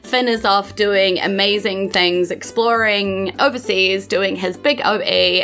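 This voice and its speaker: Female game master, New Zealand accent, unhurried, informal storytelling with light music behind. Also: lowish voice, gravelly, fairly bright tone. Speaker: dungeon master, host. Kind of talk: chatty game narration, recapping a tabletop adventure.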